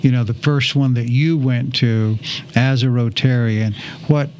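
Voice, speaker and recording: A man hosting a casual conversational interview, speaking in reflective, halting phrases. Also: mid-range voice, steady tone, darkish tone. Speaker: podcast host. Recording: background noise behind voice.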